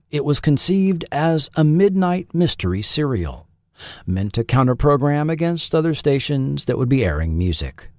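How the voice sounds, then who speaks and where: A man reading a scripted documentary narration as host, with measured, dramatic phrasing. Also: medium pitch, darkish tone, no echo, clean studio sound. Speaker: host and narrator of a history show.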